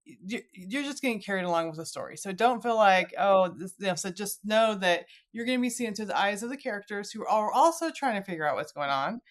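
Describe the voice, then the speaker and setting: A woman's voice: mid-range voice, animated, a little fast, hardly any pauses. A middle-aged woman hosting a podcast in a casual, chatty way, informally acting out what people might say. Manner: chatty, informal, acts out imagined remarks. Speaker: podcast host. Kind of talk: casual chat.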